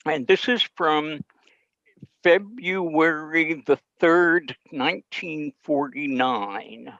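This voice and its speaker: A man presenting a session on old radio in an informal, halting, unscripted way over a video call. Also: on the high side, creaky, sharp tone, dry recording. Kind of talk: informal explanatory talk. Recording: dull video-call audio.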